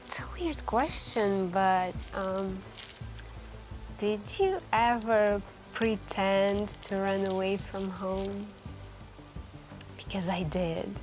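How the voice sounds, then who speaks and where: A woman playing a wistful, soft-spoken café stranger who confides intimately and hesitantly in an ASMR roleplay. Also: mid-range voice, faint music behind, close to an everyday voice. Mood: nostalgic, musing. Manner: intimate, hesitant, musing, with gentle pauses.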